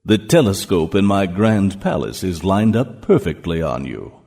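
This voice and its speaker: An actor playing a menacing, gloating ruler of Hell in an audio drama, with a pompous, commanding manner. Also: male voice, sits low, big pitch swings, moderate pace, creaky, a little mellow, heavily acted. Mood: cold menace. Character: menacing male ruler of Hell, cold, gloating, all-powerful. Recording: long cavernous reverb.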